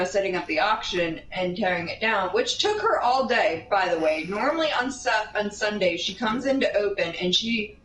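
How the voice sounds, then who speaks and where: A woman casually telling a rambling anecdote in an animated way, drifting into tangents and acting out what people said. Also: mid-range voice. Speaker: storyteller. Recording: roomy, distant mic, steady background noise.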